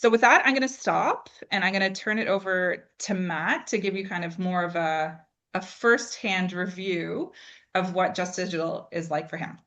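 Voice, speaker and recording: Female expert presenter, slightly low pitch, explanatory and conversational, uses rhetorical questions. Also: normal speed, a bit bright. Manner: explanatory, punctuated with rhetorical questions and check-in tags. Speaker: AI expert presenting an online discussion. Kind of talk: informal spoken presentation.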